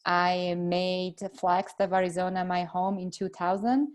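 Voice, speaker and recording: A middle-aged woman from Slovakia with a Slovak accent, answering interview questions earnestly and filling pauses with "uh.". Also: fairly low pitch. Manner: earnest, steady, frequent "uh" fillers. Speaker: congressional candidate.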